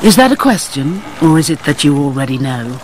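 A woman's voice: sits low, sing-song, brisk, a little mellow. A wistful, reserved noblewoman in a radio drama, guarded and questioning. Her intonation moves widely, with faint noise behind the voice. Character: guarded, wistful noblewoman facing a former love.

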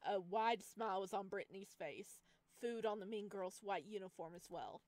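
A woman reading a visual novel aloud in an expressive way, adding casual asides as a streamer. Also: mid-range voice, normal speed, dry recording. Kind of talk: lively read-aloud of a game with casual commentary.

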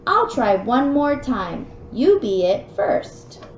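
A woman's voice: on the high side, sing-song, slow pace, reverberant. A woman voicing a small, sweet but reluctant young male kangaroo from a children's storybook, childlike and whiny. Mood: grudging. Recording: dull lo-fi home recording with noise.

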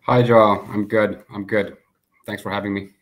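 Flat-voiced man from Finland on video call. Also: on the low side. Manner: measured, flat delivery with filler pauses. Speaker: guest, sports consultant. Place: home room, indoors. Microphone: laptop webcam on video call.

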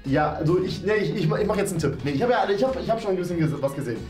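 A man's voice: sits high, animated, rapid-fire, a little mellow. A man in his twenties hosting a game video casually, thinking aloud as he plays. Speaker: game show host and player. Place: small decorated room indoors. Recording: roomy indoor echo, music underneath. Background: background music.

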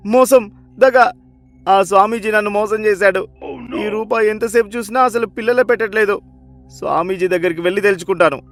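A man voicing a greedy, gullible, anxious village moneylender in an animated moral story, in a whiny, pleading way. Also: high voice, fairly quick, faint music behind. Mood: aggrieved.

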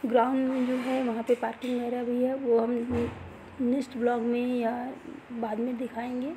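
A woman, around her thirties, chats through a casual vlog voice-over. Her voice sits fairly high but is very soft-edged and mellow. The melody stays quite flat as she speaks directly to viewers, often circling back over the same point. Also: moderate pace, a little hiss or hum, poor audio. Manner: chatty, repetitive, addresses viewers directly. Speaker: vlogger.

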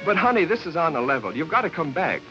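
Excitable 1940s radio producer, muffled soundtrack. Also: male voice, a little high, quick pace. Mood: sweet-talking. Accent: American. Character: fast-talking, flustered, smooth-tongued male radio producer. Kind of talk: 1940s Hollywood comedy film acting. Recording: muffled 1940s film soundtrack, music behind.

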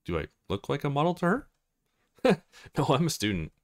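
A man's voice: a little high, huge pitch swings, normal speed. A man voicing an awkward, easygoing male student with a hesitant manner in a visual novel. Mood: wry.

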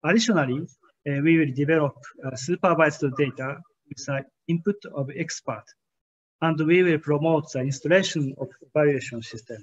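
A man's voice: a little high, normal speed, slightly rough. Man, hesitant keynote delivery. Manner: hesitant, frequent "uh" fillers, careful formal phrasing. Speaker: keynote speaker. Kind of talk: conference keynote presentation over slides. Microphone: webcam on a video call. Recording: slightly muffled video-call audio.

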